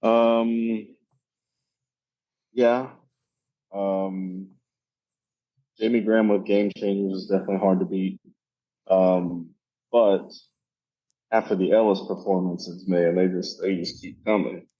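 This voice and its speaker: A man answering questions as a podcast guest in casual chat, speaking in halting, hesitant phrases. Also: low-pitched, little pitch movement, dull audio. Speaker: podcast guest, competitive cornhole player.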